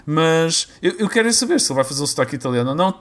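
A man's voice: on the high side, big pitch swings, racing, fairly bright tone. A young man with a European Portuguese accent, chatting casually as a podcast co-host, rambling and joking with frequent restarts. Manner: rambling, self-interrupting, jokey. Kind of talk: casual chat.

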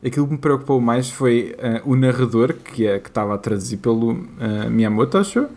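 A man's voice: mid-range voice, fairly quick, a little mellow. A young man with a European Portuguese accent, chatting casually as a podcast co-host, hesitant and laughing easily. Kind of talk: casual chat.

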